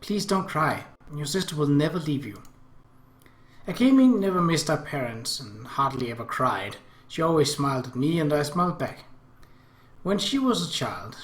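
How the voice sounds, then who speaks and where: A man's voice: on the high side, a bit bright. Man reading aloud in an echoey room. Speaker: let's play host reading a game aloud. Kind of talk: reading visual novel narration and dialogue aloud.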